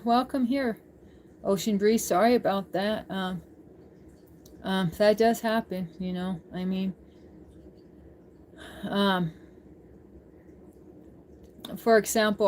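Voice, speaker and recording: A woman chats casually as a livestream host, with some faint noise behind her. Her voice sits at a middling pitch. She rambles informally and has a giggly way of talking to her viewers. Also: darkish tone.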